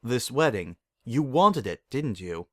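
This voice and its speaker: Storybook role: dignified pony princess, played by a man, courtly and measured counsel, dry close recording. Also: mid-range voice, big pitch swings, no echo. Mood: kindly counsel. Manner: formal, measured, archaic courtesy. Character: regal, gentle princess mare of the night, formal, solemn.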